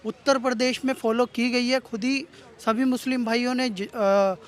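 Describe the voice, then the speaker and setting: A man's voice: very high-pitched, steady tone, normal speed. A man in an outdoor street debate, explaining his case at length and argumentatively, mixing in English words. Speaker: street interviewee.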